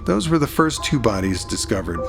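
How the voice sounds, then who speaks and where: A man playing a serious, somber police detective, narrating a crime audio drama in the first person with measured delivery. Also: medium pitch, very animated, vocal fry. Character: somber male police detective recounting a serial killer case. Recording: music playing under the voice. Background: music.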